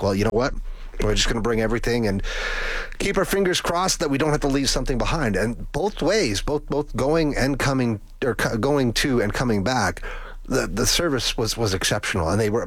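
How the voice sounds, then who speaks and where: Male Canadian talk radio host, middle-aged, lowish pitch, conversational and rambling, dry studio sound. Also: husky, dry recording. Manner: chatty, rambling, repeats words.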